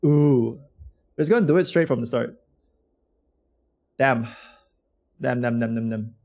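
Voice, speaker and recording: A man streaming a game with a hoarse voice from a cold, chatting loosely and slangily with his viewers. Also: mid-range voice, unhurried, a little mellow. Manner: slangy, rambling, talks to chat. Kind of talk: casual livestream chat reacting to a game.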